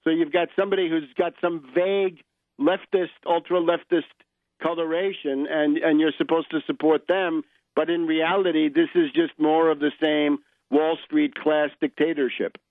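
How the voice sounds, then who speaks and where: An American man with a slightly high voice hosts political talk radio. He is opinionated and sardonic, explaining like a professor and checking in with "right?". The sound is narrow and phone-like, with very little room in it. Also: level tone, normal speed, no room sound. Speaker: radio show host and political commentator.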